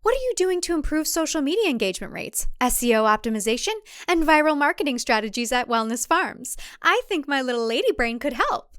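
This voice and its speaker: A woman's voice: high voice, very animated, fairly quick, few pauses, fairly bright tone, no echo, clean studio sound, voice pushed up. A woman voicing a captive woman who feigns bubbly, obedient cheer in a chirpy, over-eager manner. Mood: fake cheer.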